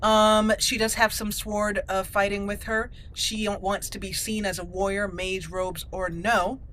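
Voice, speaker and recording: A woman giving casual commentary on a video game. Her voice is bright and sits at a middle pitch, and she is chatty and playful. There is faint noise in the background. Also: little pitch movement, a little slow, poor audio. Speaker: let's play host.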